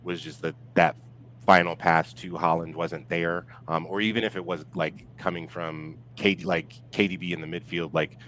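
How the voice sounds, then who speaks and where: A man chatting casually about sport as a podcast guest, speaking in a halting, reflective way and circling back to rephrase. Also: deep voice, steady tone, fairly bright tone, slight background noise, rough recording. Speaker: podcast guest discussing football.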